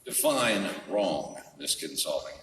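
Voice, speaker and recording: Hardboiled male gumshoe played by an actor, noir radio drama: low, forceful, wry and world-weary, in a big echoey hall. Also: big pitch swings, slow pace, exaggerated voice. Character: hardboiled wisecracking male private detective. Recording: echoey hall, distant mic.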